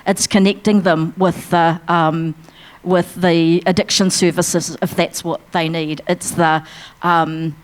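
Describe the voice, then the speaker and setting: An earnest woman speaks on a conference panel, her fairly low voice a little worn as she pushes through pauses and restarts. She sounds passionate and determined. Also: little pitch movement. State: losing her voice. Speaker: financial mentor panelist. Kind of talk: panel discussion answer. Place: conference room.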